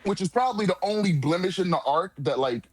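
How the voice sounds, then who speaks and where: A man's voice: high-pitched, lively intonation, fast talker. A man in a casual manga discussion, talking in an emphatic, profane, slang-heavy way as a commentator.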